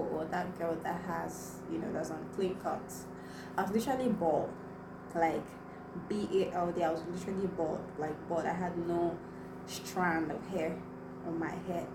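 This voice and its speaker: Lowish-voiced young woman, first language igbo, chatty storytelling vlog, lots of you know and right. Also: fairly even intonation, normal speed. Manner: chatty, addresses viewers directly, many fillers. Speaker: vlogger. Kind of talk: personal storytelling to camera. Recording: echoey room, mic at a distance, noisy.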